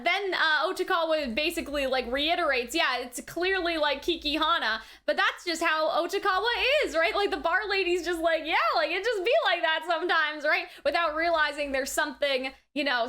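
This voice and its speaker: A woman with a high-pitched, bright voice talks through a review as a reaction host. Her delivery is casual and rambling, animated and conversational, with frequent little check-ins to the listener. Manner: animated, conversational, often checking in with "right?" and "you know what I mean?".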